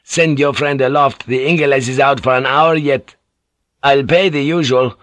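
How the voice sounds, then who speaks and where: A man reading an audiobook, voicing a crude, lewd middle-aged ship's boatswain with a loud, blustering manner. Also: mid-range voice, fairly even intonation, normal speed, pitched above the performer's own voice, natural voice. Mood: leering. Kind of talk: audiobook character voice.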